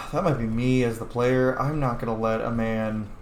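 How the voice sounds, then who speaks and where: A man's voice: mid-range voice, fairly even intonation, unhurried. A man giving casual, informal, sometimes sweary commentary on a video game he is role-playing. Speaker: gaming streamer, role-player. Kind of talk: casual game playthrough commentary with in-character replies. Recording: roomy echo with faint background noise.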